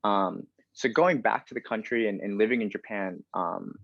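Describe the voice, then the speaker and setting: Podcast guest, man in his thirties, low voice, chatty with many "um"s, dry dull-sounding mic. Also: moderate pace, creaky, no room sound. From United States. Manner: chatty, many fillers and restarts. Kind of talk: casual interview conversation.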